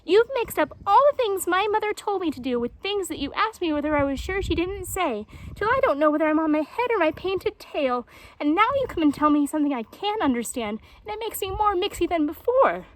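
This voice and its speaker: Woman playing a high-pitched, muddled young male jaguar. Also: lively intonation, a little fast, rough recording. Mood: muddled, exasperated.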